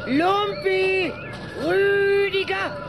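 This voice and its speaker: A woman playing a stern, scolding older vampire aunt in a German children's radio play, with drawn-out theatrical delivery. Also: extremely high pitch, steady tone, vocal fry, crowd noise, background noise, full character voice. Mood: calling, suspicious.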